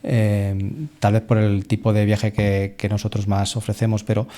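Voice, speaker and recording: Low, mellow-voiced male podcast host. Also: monotone, moderate pace. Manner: conversational, frequent fillers and restarts. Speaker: podcast host, travel agency head. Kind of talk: podcast interview questions.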